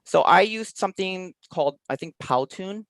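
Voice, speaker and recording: A man's voice: high voice, animated, normal speed, a bit bright, dry recording. A man chatting casually as an interview guest, explaining his work as an online teacher in loose, half-finished thoughts.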